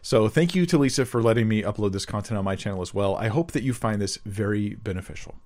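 Earnest low-voiced pastor. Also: male voice, quick pace, a little mellow. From Southern California. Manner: earnest, explanatory. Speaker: pastor and online Bible teacher. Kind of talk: interview guest answering questions. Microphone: video call.

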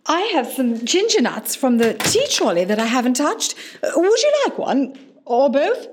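Woman acting posh-mannered polite patient, bit hesitant, high lilting voice, radio play. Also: big pitch swings, normal speed. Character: polite, hesitant, glamorous female patient from London.

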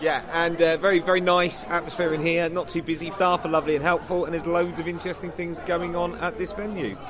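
A man's voice: on the high side, little pitch movement, fairly quick. Man chatting amid busy pub crowd. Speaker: podcast co-host. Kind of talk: casual review chat.

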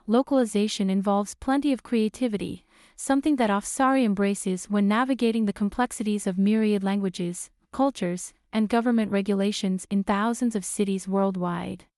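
A woman hosting a podcast, reading a scripted introduction and asking interview questions in a clear, even way. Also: medium pitch, moderate pace, no echo, studio quality. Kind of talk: scripted narration.

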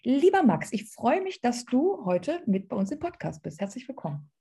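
A woman's voice: fairly high pitch, very animated, moderate pace, dark tone, breathy edge. A woman in her mid-thirties hosting a podcast and interviewing in a conversational way. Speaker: podcast host.

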